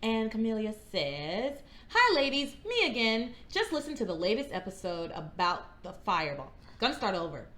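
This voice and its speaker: A woman's voice: big pitch swings, moderate pace, fairly bright tone. A woman co-hosting a podcast, chatting casually and reading listener emails aloud. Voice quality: high singing range. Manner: reads emails aloud, breaks into giggles. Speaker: podcast co-host.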